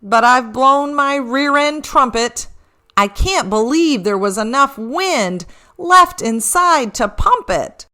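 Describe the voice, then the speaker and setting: Woman playing young male reindeer, storybook character, embarrassed, apologetic, high bright pitch, exclaiming. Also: expressive, slow, heavily acted. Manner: sheepish, exclaiming.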